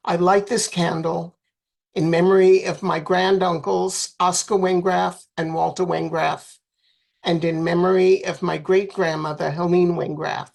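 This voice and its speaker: A woman giving a plain, steady dedication at a remembrance ceremony. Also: on the low side, measured pace, creaky. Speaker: candle lighter at a remembrance ceremony.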